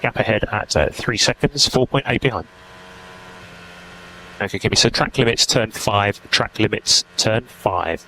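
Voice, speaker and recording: A man's voice: on the low side, expressive, measured pace, bright, forceful tone. A man working as a race engineer, giving clipped, procedural instructions over team radio. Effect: team radio transmission. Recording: noisy team radio feed.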